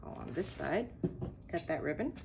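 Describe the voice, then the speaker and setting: A woman's voice: sits low, expressive, normal speed, creaky, music in the background. A woman from Texas hosting a wreath-making tutorial, chatting casually and thinking aloud as she works, with rambling asides. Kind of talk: casual crafting tutorial, talking while working.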